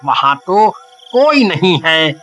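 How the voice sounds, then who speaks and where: A man's voice: sits high, very animated, slow pace, fairly bright tone, slight background noise, exaggerated voice. A man voicing a sceptical village husband in a cartoon, dismissive but soothing.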